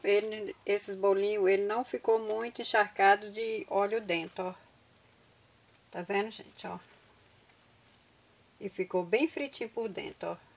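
Chatty Brazilian woman cooking at home. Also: mid-range voice. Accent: Brazilian Portuguese. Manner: chatty, addresses viewers as gente. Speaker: cooking channel host. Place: home kitchen.

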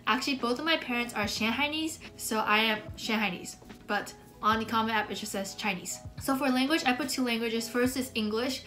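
A woman's voice: fairly high pitch, brisk, few pauses, sharp tone, soft background music. Chatty eighteen-year-old woman, light voice. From United States. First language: Mandarin. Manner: chatty, upbeat, many "like" fillers. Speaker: student vlogger. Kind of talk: casual talk to camera. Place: small bedroom, indoors.

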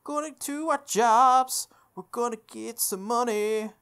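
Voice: mid-range voice, slow, a bit bright, clear, resonant tone. A let's play host reading a visual novel's lines aloud and chatting jokingly with viewers between them. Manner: reads the lines in character, then comments jokingly to viewers. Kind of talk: reading game text aloud with casual commentary.

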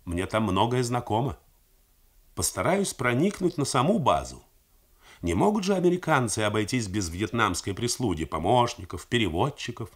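Earnest young officer pleading his case, lively voice, man reading it. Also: mid-range voice, moderate pace. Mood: eager. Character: young male Vietnamese army major, earnest, eager.

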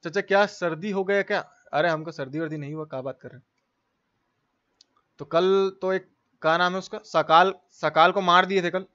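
High-voiced man, game streamer, casual slangy live chat, informal greetings. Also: steady tone, normal speed, fairly bright tone, muffled recording.

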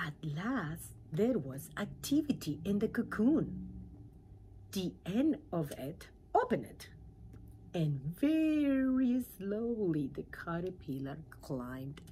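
A middle-aged woman reading a children's storybook aloud in an animated way, with dramatic pauses and questions to her listeners. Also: on the low side, a little hiss or hum.

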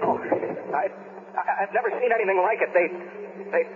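Male actor as shaken American field reporter, highish voice, stammering, horrified, 1970s radio drama, thin phone-like sound. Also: steady tone, a little fast, natural voice. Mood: horrified, panicking. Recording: tinny band-limited 1970s radio recording.

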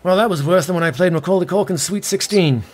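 An actor playing a brash, boozy middle-aged British rock star in an audio comedy, theatrical, sweary and boastful. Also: male voice, sits high, quick pace. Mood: wry. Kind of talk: comedy audio drama acting.

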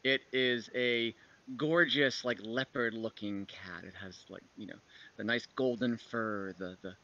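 A man improvising halting, conversational narration as the game master of an online tabletop game. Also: lowish voice, bright, forceful tone. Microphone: video call. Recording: dull, band-limited video call audio.

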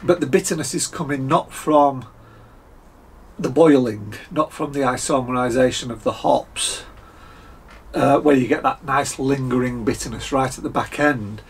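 A Scottish man in his fifties with a croaky voice, chatting casually through a beer review at his desk, with frequent fillers and throat clearing. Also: mid-range voice, moderate pace. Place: home workshop, indoors, small room.

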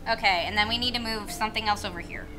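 A woman's voice: medium pitch, rapid-fire, over music. A woman co-hosting a casual game playthrough, thinking aloud hesitantly as she gives the other players directions. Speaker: gaming video co-host.

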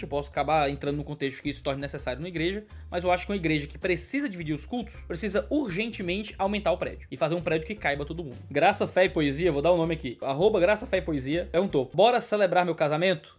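A man in his twenties, a pastor, answering viewer questions in a quick, explanatory way from a study lined with bookshelves. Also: high-pitched. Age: 20s. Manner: fast, explanatory, gestures with hands.

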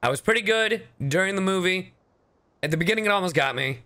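Animated young man streaming, bright voice. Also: high voice, fast. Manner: sarcastic, sweary, joking. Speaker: game streamer, VTuber. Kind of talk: casual livestream commentary.

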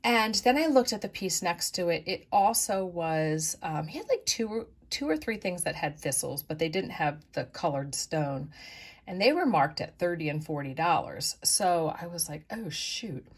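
A middle-aged woman chatting easily through her thrift and flea market finds, with soft asides. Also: sits low, breathy edge. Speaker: vlogger showing thrift finds. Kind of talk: casual show-and-tell narration. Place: indoors at a table, close-up of hands.

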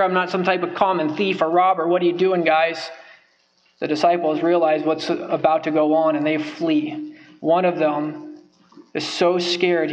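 A young man with a fairly high voice delivers a sermon from a wooden pulpit, earnest and emphatic, hammering points home through repetition. The pulpit mic recording sounds a little dull and lo-fi. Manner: earnest, emphatic, repeats phrases for stress. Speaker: preacher. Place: church sanctuary, at a wooden pulpit. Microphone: pulpit microphone.

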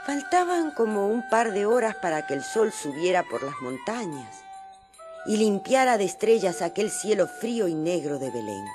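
A woman acting a mother looking back on her son's birth for a radio drama. Her telling moves animatedly up and down in pitch over music. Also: sing-song, normal speed, lightly acted. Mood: tender reminiscing. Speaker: narrator. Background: violin music.

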